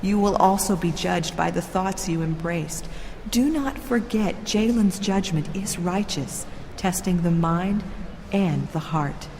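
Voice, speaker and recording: A woman playing a serene, solemn royal ruler of a heavenly city in an audio drama, speaking in a measured, formal, instructive way. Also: fairly low pitch, a little mellow, a little hiss or hum. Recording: long hall reverb with music behind.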